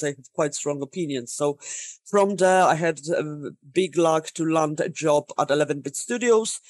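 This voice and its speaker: A woman's voice: sits low, darkish tone, dry recording. A Polish woman in her thirties, a game designer talking casually in a podcast interview, self-deprecating and laughing often. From Poland.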